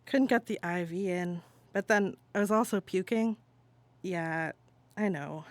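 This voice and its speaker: A woman's voice: on the low side, no room sound, close to an everyday voice. Woman acting out an exasperated patient venting by phone. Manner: casual, venting, halting one-sided phone talk. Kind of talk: dramatic monologue, conversational stage reading.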